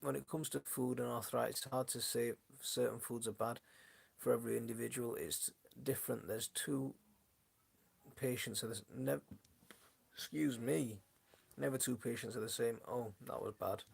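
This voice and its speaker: Brief: male stream host, mid pitch with a gravelly fry, voice going hoarse, chatty and rambling with viewers. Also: steady tone, normal speed, darkish tone. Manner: rambling, many restarts, chatty and friendly toward viewers. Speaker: gardening channel host. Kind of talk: casual live-stream chat answering viewers. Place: his home, indoors. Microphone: phone.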